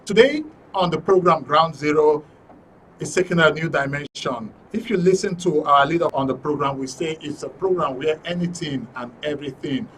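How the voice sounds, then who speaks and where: A Nigerian man hosting a live studio panel show, introducing things haltingly and repeating phrases. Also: on the high side, a bit bright. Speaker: radio and TV programme host. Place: radio and TV studio. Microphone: studio microphone. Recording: faint noise, distant studio mic.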